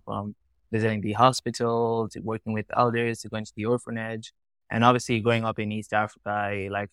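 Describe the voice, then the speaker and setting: A man's voice: lowish voice, fairly bright tone, clean tone, no room sound. A young man from Tanzania, a founder talking casually as a podcast guest, often saying "like" and "you know". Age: young adult. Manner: casual, lots of likes and you-knows.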